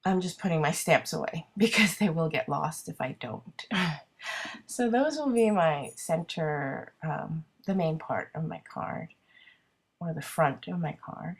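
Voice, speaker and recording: Woman, congested and husky, lowish voice, chatty self-deprecating crafter talking through her work. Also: animated, measured pace. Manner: chatty, self-deprecating, thinking aloud with many ums. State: congested with a cold. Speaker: card-making crafter. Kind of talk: casual craft video narration.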